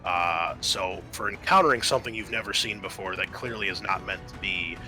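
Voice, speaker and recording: A man's voice: sits low, a little fast, gravelly, pushed and bright, faint music behind, low-quality recording. A man acting as game master, narrating the scene and explaining the rules of a tabletop roleplaying game.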